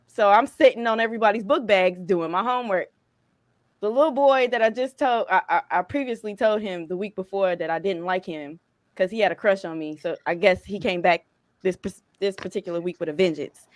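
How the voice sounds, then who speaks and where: A woman in her thirties from Chicago, co-hosting a casual podcast chat and telling profane, animated stories. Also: mid-range voice, brisk, no echo. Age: 30s. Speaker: podcast co-host.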